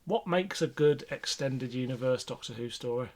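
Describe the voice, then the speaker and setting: A man's voice: mid-range voice, normal speed, a little mellow. A man co-hosting a podcast in casual conversation, informal and thinking aloud as he goes. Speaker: podcast host. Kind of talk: casual discussion.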